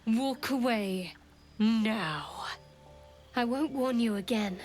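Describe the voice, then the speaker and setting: An actress playing a guarded, sardonic young female cleric of a dark goddess in a dramatic video game, speaking in a dry, cutting way. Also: on the high side, slow pace, faint music behind. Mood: cold, threatening.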